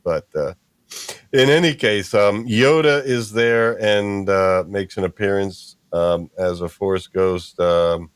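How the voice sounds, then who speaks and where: A man with a fairly low voice hosts a casual livestream discussion. The sound is very dry, with no room around his informal talk. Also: slow pace, clean tone, no echo. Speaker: stream host.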